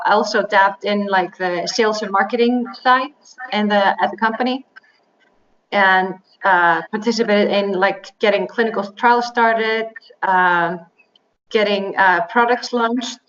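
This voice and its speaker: A woman, answering interview questions in English with many pauses, fillers and restarts. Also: mid-range voice, fairly bright tone. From Iceland. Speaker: interview guest, biotech executive. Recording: slightly dull, faint noise.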